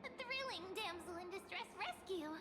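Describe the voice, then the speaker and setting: Very high-pitched playful little sprite girl. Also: animated, moderate pace, music in the background. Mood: playful, confident. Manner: playful, theatrical. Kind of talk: anime-style video game voice acting.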